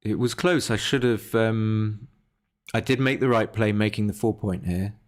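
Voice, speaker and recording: A man's voice: lowish voice, normal speed. A man giving casual live game commentary as a streamer, hesitant and thinking aloud as he goes. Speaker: livestreamer analysing his backgammon games.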